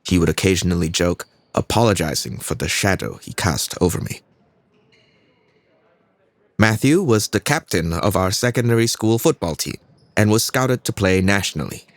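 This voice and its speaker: An actor playing an earnest young fighter narrating his own story in a measured way, with a Singaporean accent. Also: low voice, no echo. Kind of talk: scripted first-person audio drama narration.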